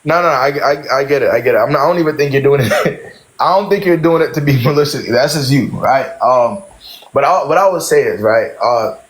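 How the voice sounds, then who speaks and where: A man's voice: medium pitch, brisk, rough recording. A man hosting a live stream, chatting casually in slang and repeating himself as he gives callers advice.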